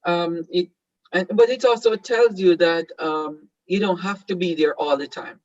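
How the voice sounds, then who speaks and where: A Jamaican man whose first language is Patois hosts an online seminar in a slightly high voice. He talks hesitantly, full of ums and restarts. The sound is dry and a little muffled. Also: measured pace, a little mellow, dry recording. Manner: hesitant, many fillers and restarts. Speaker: host.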